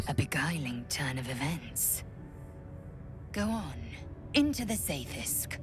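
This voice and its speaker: A woman's voice: low-pitched, big pitch swings, takes it slowly, music in the background, full character voice. A woman playing a self-assured young fantasy game companion, sly, wry and cool in manner. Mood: wry, amused. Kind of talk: fantasy video game acting.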